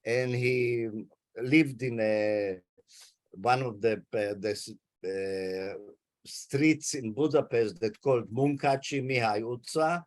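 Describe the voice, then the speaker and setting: A man's voice: mid-range voice, slow pace. A man in his eighties from Hungary, a native Hungarian speaker, carefully recounting his family history in an interview over a video call. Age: 80s. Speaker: interviewee, Holocaust survivor.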